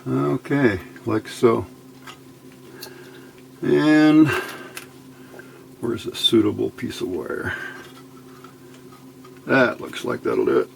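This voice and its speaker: A man's voice: mid-range voice, drawn-out words, vocal fry, long pauses, slight background noise, low-quality recording. A man giving a casual hands-on electronics tutorial, muttering to himself in short fragments as he works indoors at a bench. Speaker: electronics hobbyist demonstrating a build. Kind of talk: casual hands-on tutorial, talking while working. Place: indoor workbench.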